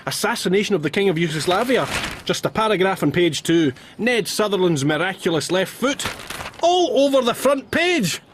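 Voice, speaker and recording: An actor playing a reserved young Scottish doctor, dry and incredulous, in a radio drama. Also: male voice, sits high, very animated, moderate pace, a little hiss or hum. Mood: exasperated. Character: reserved, sceptical young Scottish male doctor, easily exasperated. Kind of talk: broadcast radio drama acting.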